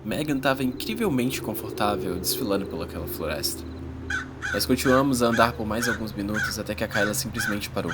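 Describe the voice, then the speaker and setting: A man's voice: lowish voice, brisk, heavy background noise, natural voice. A Brazilian man playing a teenage schoolboy who narrates an uneasy fantasy adventure in a dramatized audiobook. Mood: narrating. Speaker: first-person narrator.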